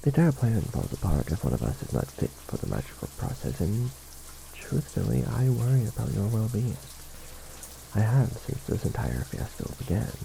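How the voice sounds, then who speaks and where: Ancient vampire lord played by a man: low, creaky voice, formal and measured, coolly courtly but tender, romantic ASMR roleplay. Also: very animated, dark tone, a little hiss or hum, heavily acted, rough recording. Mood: earnest, protective. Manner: formal, measured, theatrical diction with pauses. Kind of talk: ASMR romantic roleplay, scripted character acting.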